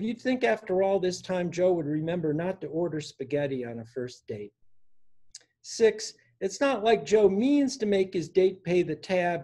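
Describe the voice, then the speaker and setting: A man in his sixties reading his own poems for an online open mic, with a measured, deliberate delivery. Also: sits high, mellow. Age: 60s. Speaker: poet reading own work. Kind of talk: poetry reading at a virtual open mic, with informal introductions. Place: small room indoors at home.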